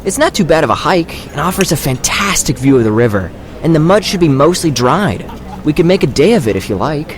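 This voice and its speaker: A man's voice: on the high side, very animated, a little fast, soft background music. An actor playing an easygoing, friendly young village man in an audio drama, speaking casually and politely. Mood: friendly, inviting.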